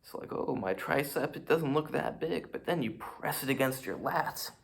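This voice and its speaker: A man's voice: fairly high pitch, animated, normal speed, gravelly, slight background noise. A man vlogging about fitness, talking to a camera in front of him in a rambling, self-mocking way as he shows off poses. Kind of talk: casual rambling monologue to camera.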